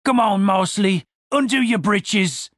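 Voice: mid-range voice, slow, no room sound, clean studio sound, pitched above the performer's own voice. A narrator voicing a nervous, apologetic male guard who talks hesitantly in an audiobook story. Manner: stammering, hesitant.

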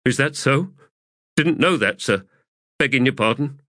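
A man's voice: mid-range voice, animated, unhurried, fairly bright tone, dry recording, clean studio sound, pitched above the performer's own voice. A man voicing a grizzled, stoic corporal who answers in terse, deferential audiobook lines. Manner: terse, respectful soldier's replies. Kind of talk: audiobook character acting.

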